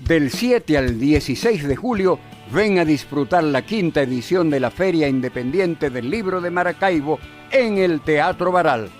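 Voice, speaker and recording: Slightly high-voiced male announcer. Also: expressive, moderate pace, faint music behind. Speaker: advertisement announcer. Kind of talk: scripted promotional announcement.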